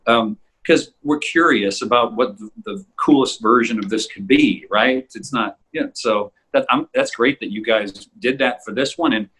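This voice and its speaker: A man in a casual behind-the-scenes chat, talking like a voice actor in a thoughtful, rambling, halting way. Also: medium pitch, a bit bright, husky, low-quality recording. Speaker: voice actor in an audio drama group.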